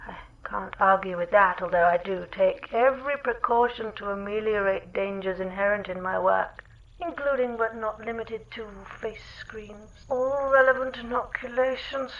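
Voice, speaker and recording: A woman's voice: mid-range voice, fairly even intonation, unhurried, creaky, a bit bright, muffled recording. A woman playing a dry, sarcastic, exasperated spaceship crew member in a comedy audio drama. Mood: melodramatic reading.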